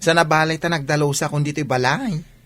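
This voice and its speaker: A woman's voice: deep voice, fairly even intonation, a little fast, a bit bright. A woman playing a sweet, caring and dutiful newlywed with an Ilocano accent in a radio drama. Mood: caring, affectionate.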